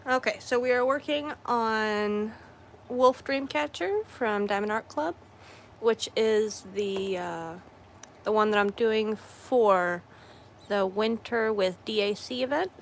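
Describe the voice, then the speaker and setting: A woman livestreaming her crafting in casual, rambling chat, hoarse and losing her voice, often clearing her throat and correcting herself. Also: on the high side, slow, slight background noise. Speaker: craft streamer.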